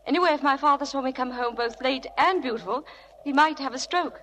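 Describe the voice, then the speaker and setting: A woman's voice: sits high, a little fast, natural voice. An actress playing a prim, wary young society woman, guarded and earnest, in 1940s radio style. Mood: guarded, uneasy. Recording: noisy vintage radio broadcast recording.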